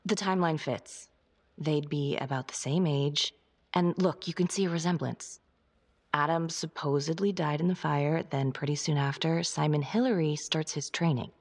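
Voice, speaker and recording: Casting: driven female detective for a game drama, gravelly and husky, terse, suspicious, naturalistic. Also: moderate pace, darkish tone. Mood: intent, suspicious. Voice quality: low, husky, creaky. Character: driven, suspicious female police detective on a serial killer case.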